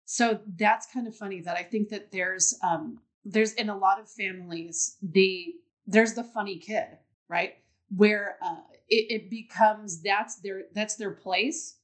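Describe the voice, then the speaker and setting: A woman's voice: mid-range voice, fairly even intonation, a little slow. A woman hosting a podcast interview, asking questions and offering reflective, affirming comments. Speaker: podcast host.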